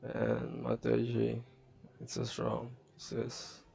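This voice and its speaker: A man from Bangladesh giving a casual, halting read-along commentary, loosely paraphrasing a comic as he streams. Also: medium pitch, monotone, creaky, mellow. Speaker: streamer reading manga aloud.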